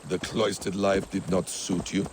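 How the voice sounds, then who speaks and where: An actor playing a dry, witty, eloquent warrior in a video game. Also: male voice, low-pitched, little pitch movement, measured pace, background noise. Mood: sardonic, amused. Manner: sardonic, eloquent. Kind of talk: video game character acting. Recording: game ambience behind the voice.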